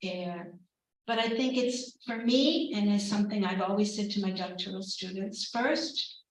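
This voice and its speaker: A woman professor giving a keynote and then answering questions, speaking in a measured, reflective way with soft laughs between points. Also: medium pitch. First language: English. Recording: very echoey hall, distant mic.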